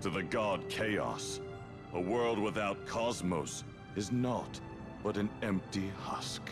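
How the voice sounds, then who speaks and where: Gloating game villain spirit, low-pitched, extremely unhurried, ominous, theatrical, loud music behind. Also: male voice. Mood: grand, gloating. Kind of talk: dramatic video game cutscene acting.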